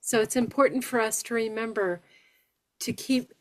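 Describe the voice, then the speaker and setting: A woman from New York State who has aphasia, speaking slowly and deliberately with careful pronunciation as she shares her experience with a support group. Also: a little high.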